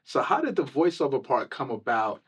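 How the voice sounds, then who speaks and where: A man's voice: medium pitch, big pitch swings, normal speed, breathy edge. Affirming radio DJ man. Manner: friendly, affirming, frequent "okay" and "got it". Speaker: radio DJ, interviewer. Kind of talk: casual radio interview. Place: radio studio.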